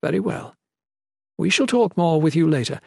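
A man voicing a high-pitched, commanding matriarch. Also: animated, moderate pace, vocal fry, slightly rough, no echo, clean studio sound, exaggerated voice. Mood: grave, fond. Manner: formal, admonishing. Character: stern, affectionate matriarch, commanding mother of high rank.

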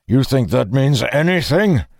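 A man's voice: deep voice, unhurried, darkish tone, dry recording, clean studio sound. A man voicing a vengeful, bereaved revolutionary leader in a fantasy audiobook, snarling and harsh with bitterness. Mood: grieving fury.

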